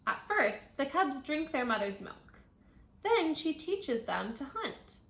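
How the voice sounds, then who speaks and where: Female primary teacher, higher-pitched voice, instructional read-aloud for young children with thinking-aloud asides, roomy echoing sound. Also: sing-song, slow, fairly bright tone. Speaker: second grade teacher reading aloud. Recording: roomy echo, audible background noise.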